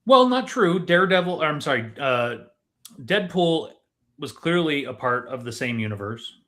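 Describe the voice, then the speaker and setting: A man co-hosting a podcast in casual, analytical discussion, deliberate and questioning in how he talks. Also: on the high side, sing-song, fairly bright tone. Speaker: podcast co-host.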